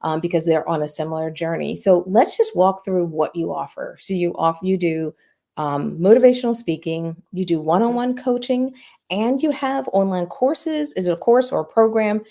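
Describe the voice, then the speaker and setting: A woman hosts a casual podcast interview in a chatty way, leaning on many fillers. Her voice sits low and mellow with a gravelly edge of vocal fry. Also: normal speed. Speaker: podcast host.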